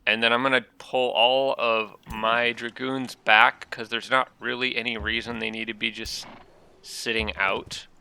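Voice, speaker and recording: A man's voice: medium pitch, level tone, a little slow, sharp tone, clear, resonant tone. A man hosting his own gaming channel, talking casually through a strategy game while stuffed up from allergies, a little nasal, thinking aloud with frequent ums. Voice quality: slightly nasal from congestion.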